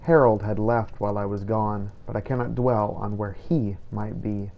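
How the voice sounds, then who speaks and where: A man voicing a solemn, righteous vampire-hunting inquisitor, narrating his hunt in formal, measured, old-fashioned phrasing for a game roleplay. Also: lowish voice, level tone, a little mellow, lightly acted. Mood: brooding, measured. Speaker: video game player narrating in character. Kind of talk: scripted first-person roleplay narration.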